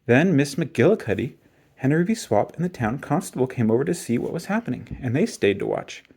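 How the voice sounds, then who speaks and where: A man reading a children's picture book aloud in an expressive storytelling style. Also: mid-range voice, brisk, vocal fry, husky.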